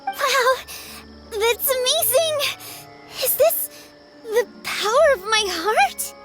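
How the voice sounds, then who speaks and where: A woman voicing a frail but determined little girl in a video game, childlike and halting, with music behind. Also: very high-pitched, expressive, exaggerated voice. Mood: awed.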